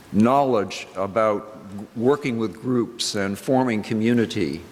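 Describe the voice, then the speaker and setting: An older man speaking as a minister in a reflective church address, with deliberate, halting phrasing and pauses for emphasis. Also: fairly low pitch. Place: large indoor church sanctuary. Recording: reverberant church hall with background noise.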